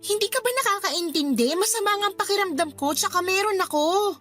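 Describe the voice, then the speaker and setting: A woman's voice: extremely high pitch, animated, a little fast, a bit bright, soft background music. A woman playing a hurt, stubborn young girlfriend in a radio drama, with a pouty, reproachful manner. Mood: annoyed. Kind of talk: Tagalog radio drama acting.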